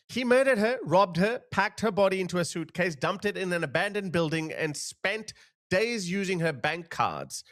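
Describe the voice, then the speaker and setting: A man's voice: medium pitch, fairly bright tone. A man from Pakistan hosting an opinionated commentary, talking indignantly with sarcastic asides and restarts. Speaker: commentary channel host.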